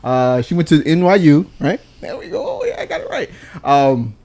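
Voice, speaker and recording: A man's voice: on the high side, huge pitch swings, normal speed, gravelly, a little mellow. A man hosting a podcast in casual chat, who grew up in Virginia, rambling with lots of fillers as he introduces a guest. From Virginia, USA. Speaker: podcast host.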